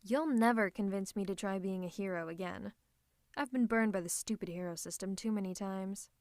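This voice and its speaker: A woman's voice: mid-range voice, normal speed, a little mellow, no room sound, studio quality, natural voice. A woman voicing a theatrical, possessive female supervillain who is secretly a tender lover, in a scripted ASMR roleplay with a dramatic, haughty, teasing manner. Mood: devoted, tender. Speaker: ASMR voice performer.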